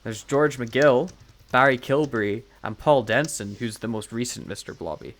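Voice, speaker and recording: A man's voice: medium pitch, moderate pace, a bit bright. A man co-hosting a podcast in casual banter, with wry, jokey asides. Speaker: podcast co-host.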